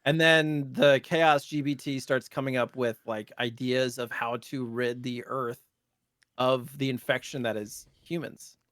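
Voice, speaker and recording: A man's voice: mid-range voice, steady tone, a little slow, fairly bright tone, no echo. A man co-hosting a podcast, talking casually in loose, hesitant phrases padded with filler. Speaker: podcast co-host. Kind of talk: casual chat.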